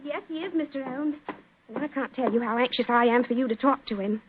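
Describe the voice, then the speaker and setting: An actress playing a chirpy, anxious young London shopkeeper's daughter in a 1940s radio drama. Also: female voice, high voice, brisk, slightly rough. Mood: chatty, anxious. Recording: tinny, narrow 1940s radio recording.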